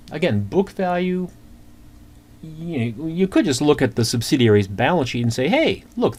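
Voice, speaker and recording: A man giving an informal screencast accounting lesson, talking through calculations step by step in a conversational, halting way. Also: medium pitch, a little mellow. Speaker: accounting instructor. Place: indoors at a computer. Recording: clear background noise, keyboard typing.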